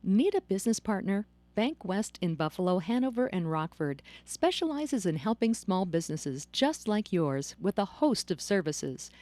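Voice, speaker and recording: A woman's voice: mid-range voice, dark tone, no echo. A woman reading a scripted radio commercial as a voice-over announcer.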